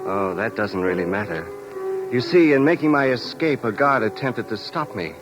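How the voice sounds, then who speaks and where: An actor playing a calm, chilling escaped convict in a 1950s radio crime drama, speaking with polite, measured menace. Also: male voice, lowish voice, natural voice. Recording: old radio broadcast, music behind.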